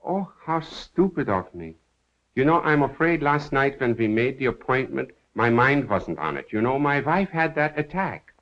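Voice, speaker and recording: An actor playing a suave, teasing amateur detective in an old 1930s film. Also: male voice, mid-range voice, very animated, moderate pace, a bit bright. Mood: glib, bluffing. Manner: glib, teasing. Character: suave, quick-witted male amateur detective, breezily bluffing. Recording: muffled 1930s film soundtrack.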